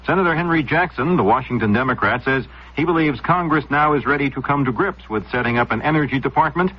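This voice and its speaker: Male American news anchor, formal and measured, rough-edged mid voice, dull vintage radio sound. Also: medium pitch. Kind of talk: scripted news reading.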